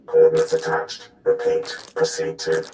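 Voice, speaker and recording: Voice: normal speed, reverberant, over music. An actor voicing a cold mechanical comm system relaying encrypted orders in clipped, formal phrases, with robotic processing. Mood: flat, procedural.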